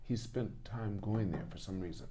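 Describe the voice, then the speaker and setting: A man's voice: on the low side, normal speed, warm tone. An older man hosting a podcast, chatting casually and repeating short phrases as he agrees and asks questions. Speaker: podcast host. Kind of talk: casual interview chat.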